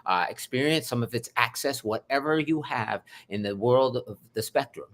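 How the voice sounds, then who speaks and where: Effusive, animated man talking. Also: medium pitch, normal speed. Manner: effusive, animated, swings loud and soft. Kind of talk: energetic interview conversation.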